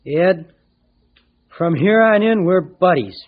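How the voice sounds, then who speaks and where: A man's voice: sits high, expressive, slow pace, noisy background. An actor playing a firm but forgiving boy gang leader in an old-time radio drama, with blunt, slangy talk. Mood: reluctant, friendly. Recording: dull vintage radio recording.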